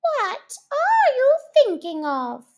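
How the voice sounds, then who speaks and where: Woman playing a high, indignant storybook mouse. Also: wildly swooping pitch, exaggerated voice. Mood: severe. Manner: sharp, indignant, slow exaggerated delivery. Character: cranky, easily offended mouse.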